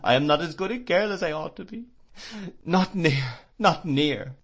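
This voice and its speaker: A distraught young woman pleads through sobs, her voice pitched up high and loud with distress, as a male storyteller puts her on. She sounds desperate and heartbroken in a storybook reading. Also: lively intonation, normal speed. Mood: sobbing. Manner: sobbing, pleading. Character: young woman, tearful and remorseful.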